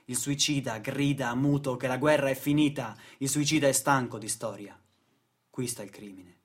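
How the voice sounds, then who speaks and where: A man's voice: medium pitch, fairly even intonation, normal speed, husky. A man performing a scripted theatrical monologue for radio, in a rhetorical, declamatory manner.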